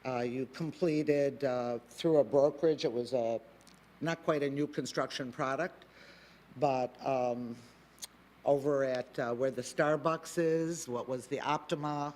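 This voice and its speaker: Male developer at a planning hearing; halting delivery, mid-range voice. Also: mid-range voice, fairly even intonation, a little mellow. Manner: halting, many fillers and restarts. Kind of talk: public meeting testimony.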